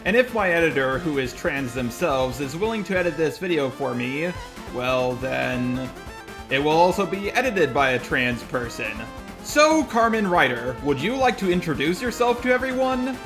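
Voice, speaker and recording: A man hosts a scripted video commentary in a fairly high voice. His pitch moves around in an animated way, with faint music underneath. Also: normal speed. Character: persona of a robot. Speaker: host.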